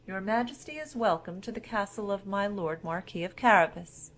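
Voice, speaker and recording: A woman voicing a cunning, boastful storybook cat with a formal, flattering, emphatic way of talking. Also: mid-range voice, moderate pace, close to an everyday voice. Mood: courtly welcome.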